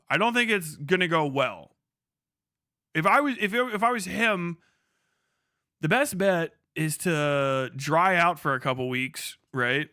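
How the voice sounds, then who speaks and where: A man's voice: on the high side, sharp tone, clear, resonant tone, dry recording, clean studio sound. A man hosting a livestream with casual, slangy, profanity-laden commentary. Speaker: livestream host.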